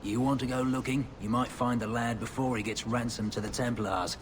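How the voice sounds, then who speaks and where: An actor playing a gruff, burned-out former Templar addict in a fantasy game, world-weary, sardonic and colloquial. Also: male voice, medium pitch, steady tone, a little fast, background noise, close to an everyday voice. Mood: placating. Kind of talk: video game dialogue acting.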